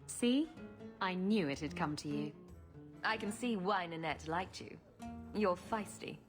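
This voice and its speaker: A woman's voice: on the low side, animated, unhurried. An actress playing a flirtatious, swaggering female pirate who teases in a worldly, sultry way. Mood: teasing. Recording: game cutscene with music bed.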